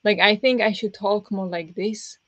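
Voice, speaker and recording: Woman rambling on a book stream, pressed kinda raspy voice, dull lo-fi sound. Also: medium pitch, steady tone, moderate pace, fairly bright tone, no room sound. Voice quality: pressed voice that turns raspy easily. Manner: rambling, with many fillers and restarts. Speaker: book streamer hosting reading sprints. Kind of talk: casual livestream chat with viewers.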